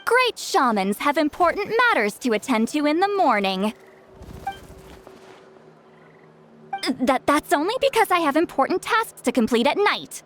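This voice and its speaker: A woman's voice: high voice, big pitch swings, moderate pace, fairly bright tone, faint music behind. A woman voicing a proud, easily flustered, youthful-sounding shaman in a video game, haughty and huffy. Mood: huffy, evasive.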